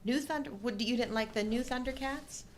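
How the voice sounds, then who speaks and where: A woman's voice: on the high side, normal speed, a little hiss or hum. A middle-aged woman co-hosting a live show in casual, playful, agreeable banter.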